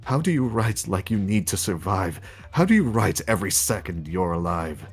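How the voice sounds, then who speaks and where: A man acting as an arrogant masked jackal villain from a cartoon, pitched on the low side. He recites in a brooding, theatrical way. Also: big pitch swings, normal speed, breathy edge, faint music behind, full character voice. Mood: brooding, intense. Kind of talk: dramatic recitation in character.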